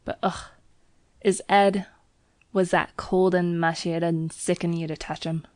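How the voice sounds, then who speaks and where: A woman's voice: on the low side, little pitch movement, measured pace, a little mellow, no room sound, close to an everyday voice. A woman doing a nervous Cockney bellboy. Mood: uneasy. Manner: dropped h's, deferential, keeps saying sir. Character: young Cockney bellboy, former soldier, nervous.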